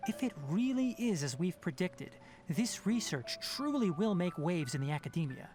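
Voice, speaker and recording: An actor playing a young, scholarly forest ranger in a video game, composed and brisk, explaining things precisely and firmly. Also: male voice, high voice, big pitch swings, darkish tone. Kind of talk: video game character acting. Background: faint game music.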